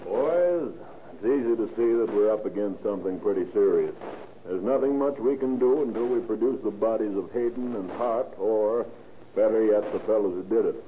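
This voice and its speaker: An actor playing a brisk, authoritative deputy sheriff in a 1930s radio crime drama. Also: male voice, fairly low pitch, lightly acted. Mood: commanding. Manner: brisk, authoritative, matter-of-fact. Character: businesslike male deputy sheriff leading a murder investigation. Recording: tinny old radio recording, faint noise.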